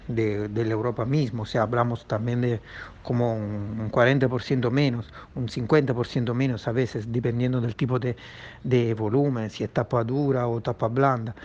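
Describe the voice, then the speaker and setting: A man's voice: mid-range voice, a little mellow, muffled recording. A man from Italy speaking Spanish as a second language with an Italian accent, answering as a comics publisher in a hesitant way, full of fillers and restarts. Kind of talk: remote podcast interview answer.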